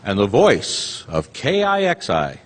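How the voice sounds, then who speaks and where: A man's voice: on the low side, wildly swooping pitch, slow pace. A man introducing the cast on a theater stage before a live audience, chatty and joking. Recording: long hall reverb, loud audience and noise. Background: audience noise and laughter.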